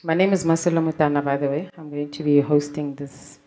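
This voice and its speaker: A young woman hosting a live event, speaking in a relaxed, hesitant way into a handheld mic in a gallery room. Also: low voice, a little fast. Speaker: host of the event.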